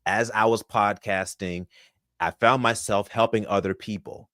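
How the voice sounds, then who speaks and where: A man's voice: fairly low pitch, fairly even intonation, measured pace, sharp tone, no echo, clean studio sound. A man from Florida, in his thirties, answering interview questions in an upbeat, polished speaker's way. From Florida, USA. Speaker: podcast guest.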